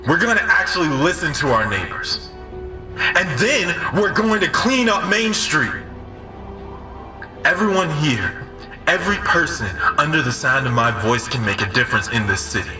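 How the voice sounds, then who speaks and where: A man's voice: on the high side, bright, forceful tone, heavily acted. An actor playing an earnest, principled man making an emphatic speech for justice in an audio drama. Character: John Mark, impassioned, principled male street orator calling for justice. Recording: echoey, distant, with music behind.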